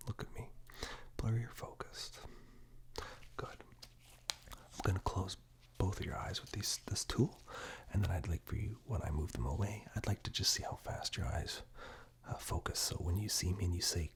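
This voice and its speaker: A man performing whispered ASMR roleplay in a gentle, reassuring, unhurried manner, very close on a binaural microphone. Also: deep voice.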